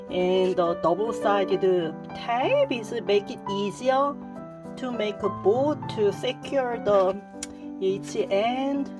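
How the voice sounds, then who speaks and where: A woman with a thick Korean accent, hosting a craft tutorial and giving short step-by-step directions in simple non-native English. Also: medium pitch. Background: soft piano music.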